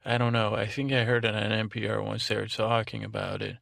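Droning, creaky-voiced man rambling softly to soothe. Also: lowish voice, fairly quick, no room sound, clean studio sound. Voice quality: soothing, soporific voice. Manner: meandering, stumbling, self-interrupting; droning, monotone. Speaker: podcast host. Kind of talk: rambling bedtime podcast monologue.

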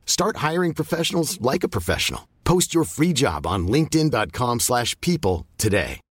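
A man's voice: mid-range voice, big pitch swings, moderate pace, slightly rough, dry recording. A man reading a scripted ad in a polished, persuasive announcer manner. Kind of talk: scripted radio ad read.

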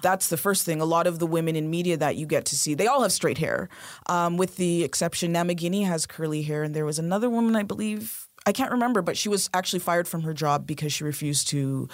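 A woman's voice: lowish voice, quick pace, no echo, studio quality. A woman interview guest, talking in a direct, emphatic way. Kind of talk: interview conversation.

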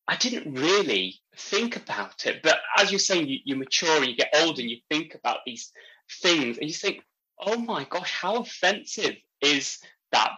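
A man's voice: mid-range voice, sharp tone, low-quality recording. A young man from Sheffield, a pharmacist guest on a podcast, telling stories with dry humour. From Sheffield, England. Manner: dry humour, thoughtful storytelling. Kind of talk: podcast conversation.

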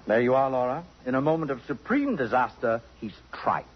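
A man's voice: mid-range voice, very animated, a little fast, slight background noise, close to an everyday voice. An actor playing a suave, possessive, sardonic society man in a 1950s radio drama, with arch and precise pompous diction. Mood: disdainful. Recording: muffled vintage radio broadcast.

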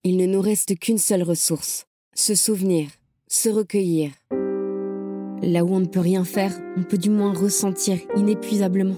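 A woman's voice: mid-range voice, fairly even intonation, moderate pace, natural voice. A woman playing a resolute camp survivor who urges remembrance, speaking in an earnest, insistent way in an audio drama. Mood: solemn. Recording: music playing behind the voice.

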